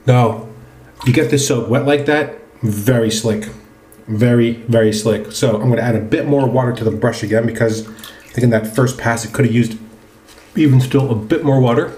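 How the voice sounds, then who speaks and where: A man's voice: medium pitch, moderate pace, a little mellow. Man chatting casually in an echoey room. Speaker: presenter of a wet shaving video. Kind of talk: casual product review chat while shaving. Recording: roomy, echoing indoor sound.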